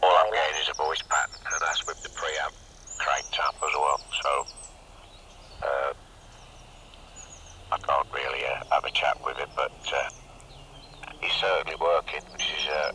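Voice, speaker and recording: A man's voice: rumbling low, level tone, unhurried, pauses often. A man chatting casually as a radio operator, heard over a two-way radio. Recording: slightly noisy CB radio reception.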